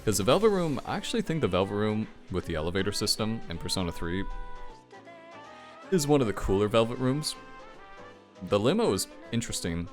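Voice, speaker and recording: A man giving casual, rambling, off-the-cuff reaction commentary on video games, thinking aloud and speaking frankly. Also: fairly low pitch. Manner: rambling, self-questioning, frank with some swearing.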